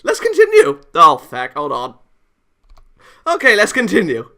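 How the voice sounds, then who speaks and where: A man's voice: high voice, wildly swooping pitch, measured pace, pushed and bright. A man reading a visual novel aloud for a let's play, stumbling now and then and tossing in casual, sweary asides. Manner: stumbles over read lines, swears casually. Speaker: let's player.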